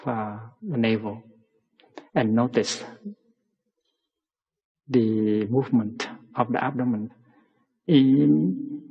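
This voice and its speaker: A man leading a guided mindfulness meditation, speaking gently and deliberately in short phrases with long pauses between them. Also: mid-range voice, husky. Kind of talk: guided meditation talk to a community. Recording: muffled recording in a long-reverberant hall.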